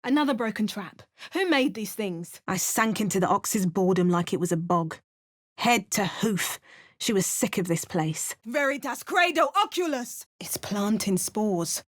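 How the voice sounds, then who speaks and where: A woman's voice: mid-range voice, expressive, measured pace. Woman doing a hammy mock-Cockney adventurer. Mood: wry. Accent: exaggerated mock Cockney. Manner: hammy, theatrical spell chants. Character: wandering female adventurer hero, wry and dramatic. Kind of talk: parody game voice lines.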